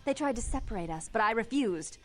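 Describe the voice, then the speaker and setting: A woman's voice: on the high side, lively intonation, a little fast, a bit bright. A woman playing a prim, controlling vampire sister in a video game, speaking in a clipped, formal, self-righteous and venomous way. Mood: righteous resentment. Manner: clipped, haughty, formal, indignant. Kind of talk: dramatic video game voice acting. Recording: game dialogue over background music. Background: music.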